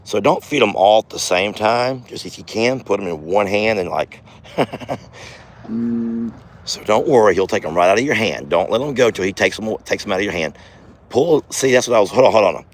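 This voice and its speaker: A hoarse, middle-aged man rambles in a folksy way as he films outdoors on his farm. His voice sits fairly low. A faint hiss of noise stays underneath. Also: expressive, fairly quick. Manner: folksy, chatty, talks softly to his bull. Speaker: farm vlogger, channel host. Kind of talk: casual outdoor vlog. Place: outdoors on a farm by a bull pen. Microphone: handheld phone camera.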